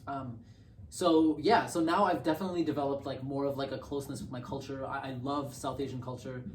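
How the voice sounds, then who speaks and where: A young man speaks as a podcast guest, reflective and halting, often restarting his words. He sits a little away from the mic, so his voice carries some room echo. A faint hiss sits under the recording. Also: mid-range voice, fairly even intonation, recorded from a distance. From born in Bangladesh, raised in Canada. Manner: thoughtful, halting, repeats words as he thinks. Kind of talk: casual conversational interview.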